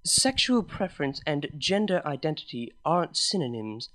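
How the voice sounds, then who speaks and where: A man's voice: on the high side, sing-song, moderate pace, a bit bright, no echo. A man voicing an earnest, somewhat hesitant young mare pony in a storybook reading.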